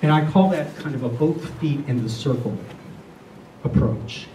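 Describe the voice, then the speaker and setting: A middle-aged or older man giving a reflective spiritual talk to a group, speaking earnestly and deliberately, often repeating phrases. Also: on the high side, animated, warm tone. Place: room with a listening group. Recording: roomy, distant mic, faint noise.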